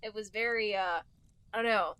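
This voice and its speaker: A woman chats casually as a podcast co-host. Her voice sits at a middling pitch and she is giggly, often hedging as she goes. The recording is dry, with very little room sound. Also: moderate pace, pushed and bright, smooth, no room sound. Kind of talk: casual chat.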